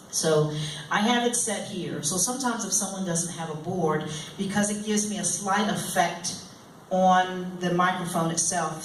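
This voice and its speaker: A woman musician giving an informal live demonstration in a playful, chatty way, often checking in with her listeners. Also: on the low side. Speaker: musician and vocalist leading a master class. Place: indoor live workshop before an audience. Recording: echoey room, distant mic, noisy background.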